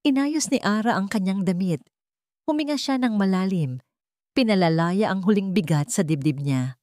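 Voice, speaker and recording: A woman's voice: mid-range voice, expressive, moderate pace, darkish tone, no room sound, studio quality. A woman narrating a scripted audiobook drama with dramatic delivery.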